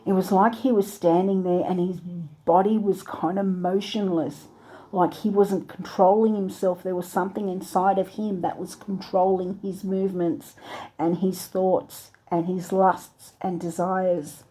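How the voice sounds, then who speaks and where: A woman earnestly recounting a dream, halting now and then to search for words. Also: fairly low pitch, little pitch movement, warm tone, breathy edge, poor audio. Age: adult with a three-year-old daughter. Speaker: channel host recounting a dream. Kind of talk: personal dream testimony.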